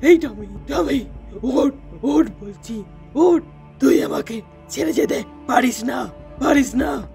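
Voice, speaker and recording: A man voicing a grieving, vengeful dog owner in a cartoon, anguished and shouting. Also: very high voice, slow pace, gravelly, faint music behind, heavily acted.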